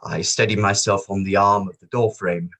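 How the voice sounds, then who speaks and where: Low-voiced actor as a sombre inquiry witness. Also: male voice, steady tone, moderate pace, a bit bright. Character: male witness giving sombre evidence at a disaster inquiry. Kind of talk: table read of a courtroom play.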